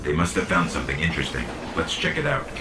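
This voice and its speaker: A man's voice: sits low, big pitch swings, fast talker, heavy background noise. An actor playing a small, curious male robot AI companion in a video game, speaking in earnest, clipped remarks. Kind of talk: video game cutscene voice acting.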